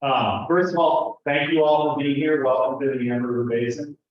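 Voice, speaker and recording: A man with a loud voice giving a casual, direct conference talk. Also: mid-range voice, a little fast. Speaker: water district general manager, panel speaker. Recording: echoey hall from far off, dull sound.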